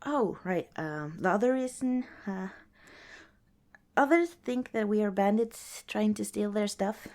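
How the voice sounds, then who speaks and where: A woman playing a kind, cautious young scavenger in an ASMR roleplay, speaking softly and hesitantly, as if chatting to the listener. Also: medium pitch, unhurried, natural voice. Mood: wry, musing. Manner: soft, hesitant, conversational, with sighs and small laughs.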